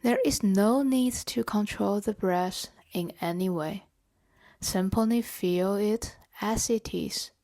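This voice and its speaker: A woman leading a guided body scan meditation in a gentle, measured, instructional way. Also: medium pitch. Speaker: meditation guide and therapeutic coach.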